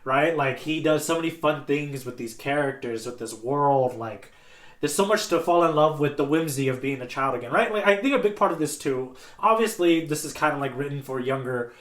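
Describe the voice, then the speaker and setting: Guy on a podcast in an echoey room, voice a bit high, earnest and chatty. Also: expressive, brisk. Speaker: podcast co-host. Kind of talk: casual podcast chat. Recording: roomy, echoey sound.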